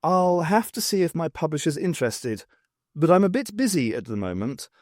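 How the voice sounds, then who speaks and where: A man's voice: fairly high pitch, sing-song, normal speed, a little mellow, no room sound, voice pushed up. A man voicing a self-assured woman archaeology professor in an audiobook, with a dry, teasing, composed manner. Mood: breezy.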